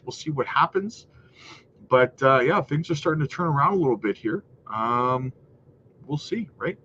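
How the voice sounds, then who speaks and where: A slightly nasal man with a somewhat high voice runs a casual market livestream, chatty and hyped, keeps addressing his viewers as guys. The audio is dull and lo-fi, with faint noise underneath. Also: a bit bright. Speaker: crypto market livestream host.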